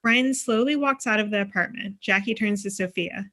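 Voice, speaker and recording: A woman's voice: medium pitch, normal speed, bright, forceful tone. A woman giving a scripted narration of screenplay stage directions.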